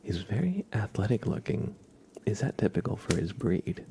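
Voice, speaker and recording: A man hosting an ASMR livestream, chatting softly and gently and often slipping into whispers. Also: on the low side, sing-song, moderate pace, slight background noise. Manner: gentle, hushed, drifting into whispers. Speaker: livestream host.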